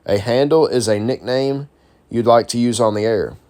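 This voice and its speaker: A man's voice: medium pitch, moderate pace, a little mellow. A man presenting an instructional guide, reading a script aloud in an informal, explanatory way. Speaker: presenter of a CB radio how-to guide.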